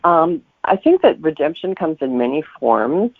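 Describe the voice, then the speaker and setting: A man with a creaky, gravelly voice talks as a guest author being interviewed. He explains things reflectively and conversationally. The sound is dry and narrow, like a telephone line. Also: fairly high pitch, wildly swooping pitch, normal speed, dry recording. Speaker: guest novelist.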